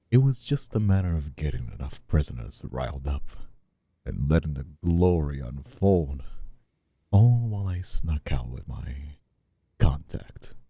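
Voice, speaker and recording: A man playing a menacing, smug mobster brother in an audio roleplay, gravelly and husky, with mock-polite, drawn-out taunts. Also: very deep voice, warm tone, no echo, heavily acted. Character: menacing, taunting mobster brother, escaped convict and crew leader. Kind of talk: scripted audio roleplay acting, ASMR.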